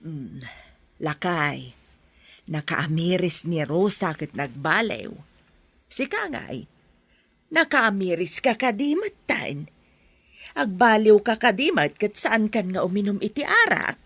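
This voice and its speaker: Bright, swooping, frank village wife. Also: female voice, medium pitch, very animated, slow pace, long pauses, breathy edge, no echo, full character voice. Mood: admonishing. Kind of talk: Ilocano radio drama acting.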